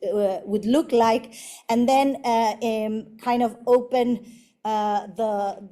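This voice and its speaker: Mexican woman architect giving a talk, bit high voice, mellow and soft, lots of uhs and ums. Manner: halting, many fillers and restarts. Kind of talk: university lecture presenting projects.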